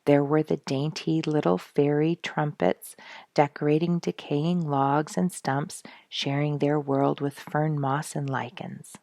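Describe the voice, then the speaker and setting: A woman reading a story aloud as an expressive, deliberate narrator. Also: deep voice, dry recording, studio quality.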